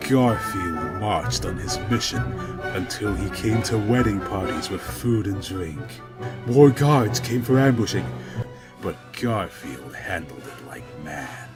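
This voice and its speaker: A man narrating a comic story with dramatic, deliberately stilted mock-epic phrasing. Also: fairly low pitch, expressive, over music.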